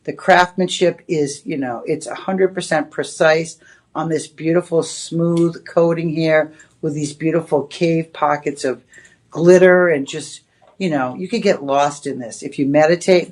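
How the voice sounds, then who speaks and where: A woman's voice: low-pitched, a little slow, a little mellow. Boston-accented woman, shopping channel host, loose chatty on-air patter. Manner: chatty, rambling, with many "you know"s. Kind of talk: live on-air sales pitch. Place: TV shopping studio.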